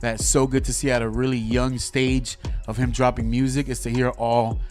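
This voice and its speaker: Slangy, animated podcast co-host guy. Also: medium pitch, normal speed, soft background music. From Miami. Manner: animated, slangy, restarts often. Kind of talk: casual music chat.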